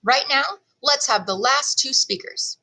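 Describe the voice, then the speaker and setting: A woman's voice: sits high, unhurried, pushed and bright, voice pushed up, heavily acted, low-quality recording. A woman playing a stern schoolteacher in a children's story, addressing her class in firm, authoritative, clipped phrases.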